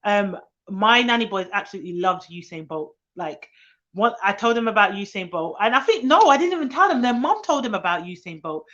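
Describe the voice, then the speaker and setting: A woman talks casually on a live stream, rambling with plenty of fillers and restarts. Her pitch sits in the middle for a woman but leaps up when she quotes children. The audio is a little dull and lo-fi. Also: very animated, a little fast, fairly bright tone. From England, grew up in London. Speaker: nanny hosting a live stream.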